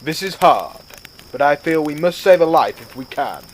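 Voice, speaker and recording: An actor playing a decisive, caring rabbit starship captain in a science-fiction audio drama, commanding and earnest. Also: male voice, on the high side, lively intonation, normal speed, slight background noise, natural voice, rough recording. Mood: worried.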